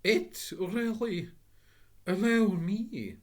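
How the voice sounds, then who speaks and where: A man doing a fussy, flustered old gentleman. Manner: fussy, very high, slow and bewildered. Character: flustered polite elderly office gentleman.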